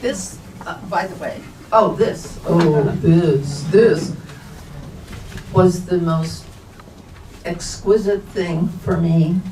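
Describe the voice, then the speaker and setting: An older woman talks off the cuff at a book event. She is extremely slow and halting, searching for each word. Her voice reaches the mic from some distance in a roomy, echoing space with faint noise. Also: fairly low pitch, dark tone. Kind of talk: unscripted question-and-answer talk.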